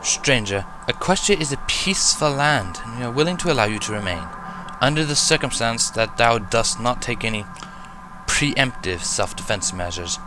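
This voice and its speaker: A man's voice: mid-range voice, animated, moderate pace, background noise, natural voice. A man voicing a female alicorn princess who speaks in stern, formal, archaic English. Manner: formal archaic speech with thee and thou.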